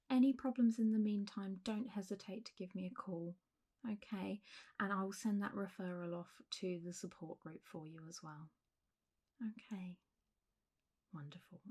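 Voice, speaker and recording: A woman doing a soft, half-whispered ASMR roleplay as a gentle, reassuring doctor talking a patient through care, in a close recording with faint hiss. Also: fairly low pitch, slightly rough. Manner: gentle, reassuring, unhurried doctor's bedside talk. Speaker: ASMR performer playing a clinic doctor.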